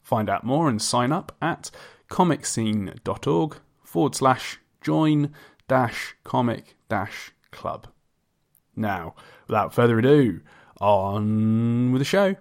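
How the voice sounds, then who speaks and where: Male podcast host, mid-pitched voice, friendly interviewer delivery. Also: slow, gravelly. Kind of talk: scripted podcast intro and friendly interview chat.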